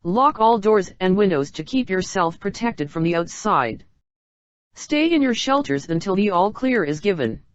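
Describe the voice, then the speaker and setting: A woman's voice: mid-range voice, very animated, normal speed, dry recording, dull audio. A woman reading a scripted emergency broadcast announcement in a formal, instructional manner.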